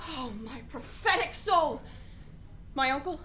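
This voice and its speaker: A woman's voice: high-pitched, expressive, measured pace, pushed and bright, exaggerated voice. A woman playing an anguished, impulsive young prince and grieving son in a Shakespeare radio play, with theatrical exclamations. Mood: shocked, anguished.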